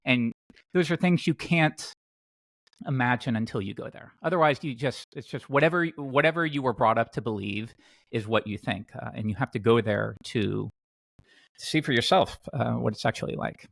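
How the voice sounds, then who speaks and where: Man with a creaky, gravelly podcast voice. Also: medium pitch, normal speed, a bit bright, dry recording. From Tennessee, United States. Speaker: podcast host. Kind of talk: casual podcast chat.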